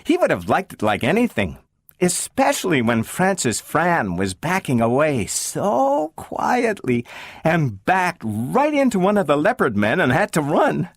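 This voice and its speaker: A man reading aloud and voicing an eager little girl who turns tearful, in a shrill pleading voice. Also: very animated, a little slow, a bit bright, dry recording, studio quality, heavily acted.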